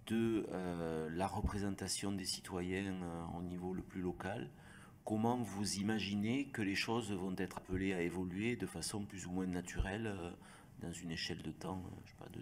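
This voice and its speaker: Low-voiced man posing a hesitant question. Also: a little hiss or hum. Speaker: committee member putting a question. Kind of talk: spontaneous formal question at a hearing.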